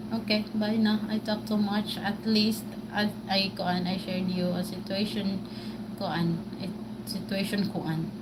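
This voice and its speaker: A woman's voice: medium pitch, flat delivery, unhurried. Rambling Filipino woman on a noisy stream. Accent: Filipino, Bisaya. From Philippines. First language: Bisaya. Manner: rambling, many fillers and restarts. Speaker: vlogger. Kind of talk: live-stream rant to viewers.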